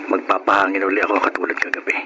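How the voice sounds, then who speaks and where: A man's voice: low voice, completely flat delivery, very fast, lightly acted. An actor playing a curious, adventurous young city man in a Filipino radio drama. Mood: hushed, curious. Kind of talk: Filipino radio drama acting with narrated thoughts. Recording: noisy radio drama recording with ambience.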